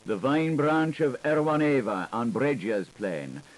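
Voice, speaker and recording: A man's voice: medium pitch, big pitch swings, unhurried. A man narrating a literary audiobook in a theatrical, playful way, with shifting emphasis, on an old tape recording. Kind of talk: literary audiobook reading, dramatic scripted narration. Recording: hissy 1980s audiobook tape.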